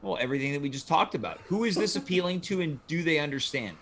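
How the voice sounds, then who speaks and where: A man's voice: on the high side, lively intonation, fast, fairly bright tone, a little hiss or hum. A man hosting a casual panel discussion, steering the conversation. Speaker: host.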